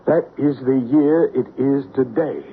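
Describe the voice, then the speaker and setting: An actor playing a psychic medium who leads a séance in a calm, coaxing, patient way. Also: male voice, mid-range voice, measured pace, a little hiss or hum, close to an everyday voice. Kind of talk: 1970s radio drama acting. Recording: tinny old radio broadcast.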